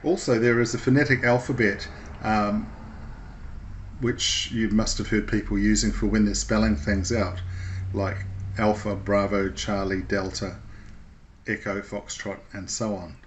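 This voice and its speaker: A man presenting an informal, unscripted how-to lesson in a measured, explanatory way with thoughtful, hesitant phrasing. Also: on the low side, level tone, a little hiss or hum. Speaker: instructor presenting a radio how-to video.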